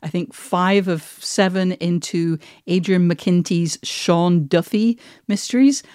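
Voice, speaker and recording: A woman's voice: on the low side, slow pace, a little mellow, no room sound, clean studio sound. A British woman hosting a podcast, talking in an articulate, emphatic, conversational way. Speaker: podcast host.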